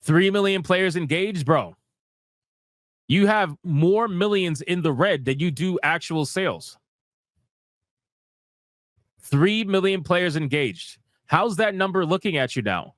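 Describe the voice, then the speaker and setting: Man, high voice, dry close sound, casual ranting commentary, slangy, sweary, sarcastic, emphatic repetition. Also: animated, takes it slowly, long pauses, fairly bright tone, dry recording. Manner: sweary, slangy, emphatic, repeats phrases for effect; sarcastic, mocking. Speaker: host of a gaming news livestream.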